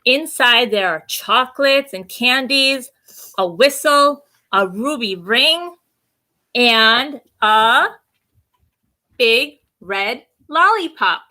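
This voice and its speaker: A woman with a high, bright voice reads a storybook aloud for children. She takes her time, telling it expressively and addressing the young listeners directly as a story time host. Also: lively intonation, slow pace.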